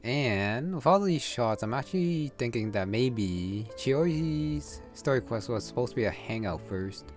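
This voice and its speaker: A man's voice: mid-range voice, expressive, measured pace, faint music behind. A man giving casual, off-the-cuff commentary on a video game in a hesitant, rambling way.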